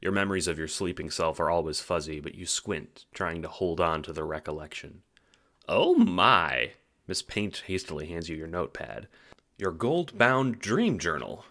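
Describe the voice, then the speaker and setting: A man narrating a scripted second-person story as an audiobook, with theatrical, expressive delivery. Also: sits low, moderate pace.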